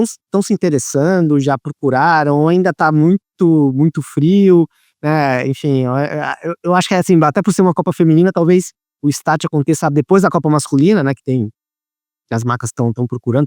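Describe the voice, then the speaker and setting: A man's voice: on the high side, brisk, a little mellow, no room sound, studio quality. A man hosting an interview, talking in a chatty way and restarting his phrases often. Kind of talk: interview questions, casual chat.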